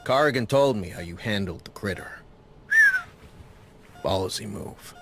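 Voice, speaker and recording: A man's voice: lowish voice, lively intonation, measured pace, gravelly, very noisy. An actor playing a wary, sardonic, blunt-talking male action sidekick in a sci-fi video game. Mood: wry, wary. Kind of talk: cinematic video game acting. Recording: loud music under dialogue.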